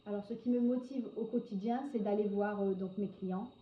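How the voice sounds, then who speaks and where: A woman's voice: medium pitch, steady tone. Hesitant woman in her forties, echoey room. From northern France. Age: 40s. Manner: hesitant, frequent filler pauses. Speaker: hairdresser interviewee. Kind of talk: answering interview questions. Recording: echoey, dull lo-fi sound with faint noise.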